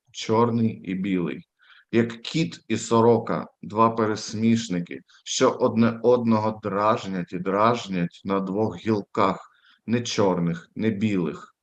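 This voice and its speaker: A man with a Ukrainian accent, a native Ukrainian speaker, reading and introducing poetry as a poet at a live reading. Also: lowish voice.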